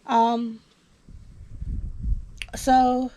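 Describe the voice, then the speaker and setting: A woman's voice: a little high, drawn-out words, low-quality recording. A woman from Houston, hosting a casual podcast chat while a little under the weather, with plenty of ums. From Houston, Texas. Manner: relaxed, filler-heavy, reads from notes. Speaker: podcast host. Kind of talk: casual conversation.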